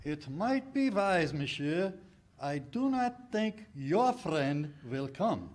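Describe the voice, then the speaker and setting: A man's voice: a little high, sing-song, takes it slowly. A man playing a stern, coldly polite villainous military officer in 1940s radio theater style, clipped and commanding. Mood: coldly menacing.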